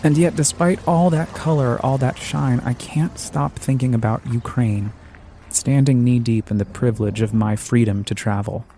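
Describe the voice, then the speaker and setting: Earnest man narrating, mellow voice. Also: medium pitch, fairly even intonation, slight background noise. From United States. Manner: measured, earnest and reflective. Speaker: podcast host and narrator. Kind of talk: scripted reflective narration.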